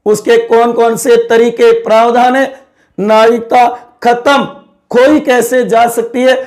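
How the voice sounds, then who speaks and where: A male teacher giving an exam-prep civics lecture. He repeats key terms and keeps checking whether the students follow. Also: very high voice. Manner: drawn-out, emphatic slogan-like closing.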